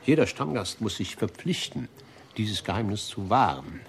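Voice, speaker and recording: Pompous low-voiced gourmet gentleman. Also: big pitch swings, moderate pace, gravelly, a little hiss or hum. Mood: confiding, earnest. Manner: pompous, lecturing, formal, rhapsodic about food. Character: pompous, fastidious gentleman gourmet, obsessively devoted to one restaurant. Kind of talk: German radio drama acting.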